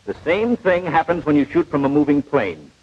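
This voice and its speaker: A man playing a patient, precise, didactic gunnery instructor in a 1940s animated training film. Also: fairly high pitch, moderate pace, husky. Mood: instructive. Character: patient, know-it-all male gunnery instructor, precise and unflappable. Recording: dull vintage 1940s film soundtrack.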